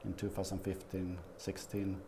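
A Swedish man in his fifties, a guest in a small TV studio interview, talking haltingly with many fillers. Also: low voice, monotone, dark tone, a little hiss or hum. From Sweden. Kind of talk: casual interview.

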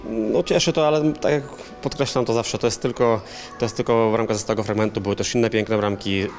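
Low, flat-voiced fast-talking coach. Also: male voice, faint music behind. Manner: repeats words while searching for phrasing. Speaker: assistant coach. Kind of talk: post-match interview. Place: hotel lounge indoors. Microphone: handheld interview mic.

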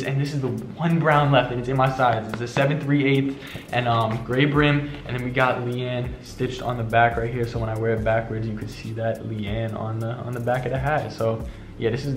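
A young man from New York showing his hat collection in a casual, chatty vlog, talking quickly with lots of fillers and slang. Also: medium pitch, a little hiss or hum. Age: young adult. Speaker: fashion vlogger. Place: bedroom with shelves, indoors. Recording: echoey room.

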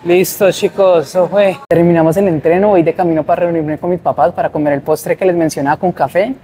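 A man's voice: fairly high pitch, fairly even intonation, a little fast, dark tone, a little hiss or hum. A young man, chatting upbeat and casual to camera as a fitness vlogger between sets in a gym. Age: young adult. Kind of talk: casual vlog chat to camera. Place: large indoor gym, then a shopping mall walkway.

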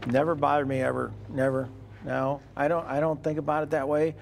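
Older guy being interviewed in a barn, gravelly, earnest, repeats himself a lot. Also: fairly high pitch, level tone, fairly quick, faint music behind. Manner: earnest, repeats words when starting a thought. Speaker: interviewee, farmer philanthropist. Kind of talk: sit-down interview. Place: large farm machinery shed.

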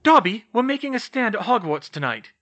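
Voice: mid-range voice, very animated, brisk, a bit bright, lo-fi sound, voice pushed up. A narrator voicing an earnest, anxious teenage witch girl with an emphatic manner, in a fantasy audiobook. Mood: businesslike.

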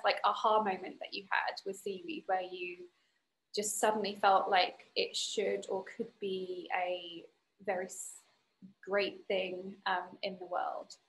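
Woman podcast host, 30s, medium pitch, chatting on a video call, kinda halting with lots of pauses. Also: fairly bright tone, low-quality recording. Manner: hesitant, pausing between phrases. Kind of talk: informal interview hosting. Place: bright room at home, video call.

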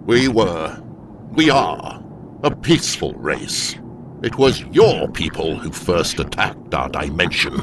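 Demon overlord: deep, gravelly, bitter and theatrical menace for audio drama. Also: male voice, sing-song, noisy background, full character voice. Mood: bitter, accusing. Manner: slow, theatrical, taunting and accusing. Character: wrathful, menacing demon overlord, grieving for his race.

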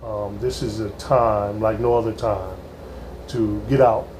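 A man talking earnestly in a barbershop discussion, pausing often with "um". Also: fairly low pitch, steady tone. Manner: hesitant, many "um"s, earnest. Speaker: panelist. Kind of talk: casual discussion. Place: small indoor barbershop. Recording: air conditioning hum.

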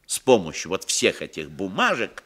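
An actor in a 1960s Soviet radio play playing an old, unflappable mafia boss, evasive, sly and self-assured. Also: male voice, low voice, wildly swooping pitch, moderate pace, a bit bright, exaggerated voice. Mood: defiant.

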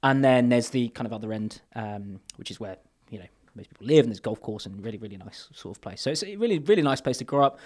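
A man in his thirties from England sits in a podcast studio and talks into a boom mic. He rambles through his answers with restarts and fillers. His phrases often trail off quietly. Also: animated, fast talker, hardly any pauses. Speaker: podcast guest. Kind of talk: casual interview chat. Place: podcast studio with brick wall. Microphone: boom mic on arm.

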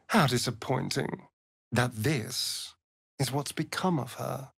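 An actor playing a vain, sardonic vampire rogue in a video game, with a British accent and an arch, aristocratic, theatrical delivery. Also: male voice, medium pitch, slow, vocal fry, a bit bright, slightly rough, no room sound, studio quality, exaggerated voice. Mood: dry, wry. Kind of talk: theatrical game character dialogue.

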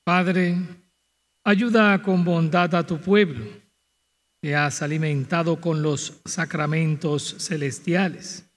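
A man's voice: fairly high pitch, fairly even intonation, slow pace. A middle-aged man, a priest leading prayers and addressing a congregation in a large church over a microphone. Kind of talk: liturgical prayer and announcements to a congregation. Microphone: microphone on altar stand or handheld.

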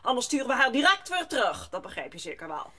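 High, husky, strict governess. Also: female voice, sing-song, quick pace, sharp tone, heavily acted. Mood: stern. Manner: stern, haughty, commanding. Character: strict, stiff, disapproving governess lady. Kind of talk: Dutch audio drama acting.

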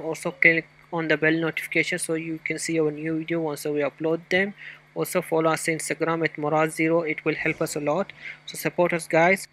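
A man's voice: on the high side, pushed and bright, rough recording. A man hosting a gaming channel, narrating a video guide.

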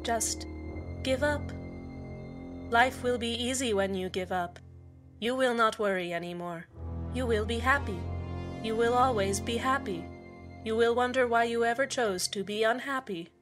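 A woman's voice: a little high, a little slow, pauses often, close to an everyday voice. Woman playing a sinister, sweetly polite radio host over music. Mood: smug menace. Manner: soothing, condescending, menacingly polite. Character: falsely cheerful, sinister female community radio host. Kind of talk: scripted radio drama monologue. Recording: music bed under the voice.

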